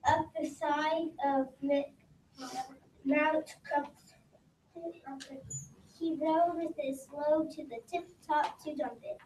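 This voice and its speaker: A young reader, sounding like a child, reading a rhyming story aloud slowly and haltingly. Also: sits high, steady tone, a little mellow. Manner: slow, stumbling over words. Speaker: readers theater performer. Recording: roomy, distant mic, background noise.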